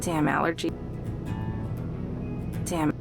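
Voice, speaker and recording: Man as a creaky, guarded young woman. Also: on the high side, little pitch movement, slow, voice pushed up. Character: guarded, melancholy young woman on a road trip. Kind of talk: visual novel character reading. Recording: music behind the voice.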